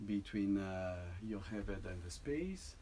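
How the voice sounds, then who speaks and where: Man explaining his piece haltingly. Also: fairly low pitch, animated, warm tone, a little hiss or hum. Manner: halting, many restarts and tag "no?". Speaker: composer presenting a piece. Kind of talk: informal talk to an audience.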